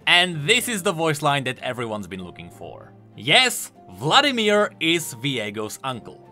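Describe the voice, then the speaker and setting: A man's voice: fairly high pitch, huge pitch swings, measured pace, bright, forceful tone. A man giving enthusiastic, emphatic explanatory commentary on video game lore. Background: faint music.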